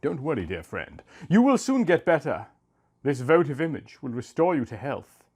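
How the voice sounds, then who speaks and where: A man's voice: medium pitch, big pitch swings, normal speed, a little mellow, breathy edge, voice pushed up. A man voicing a devoted warrior king, solemn and fervent, in a dramatic reading of an epic. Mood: comforting.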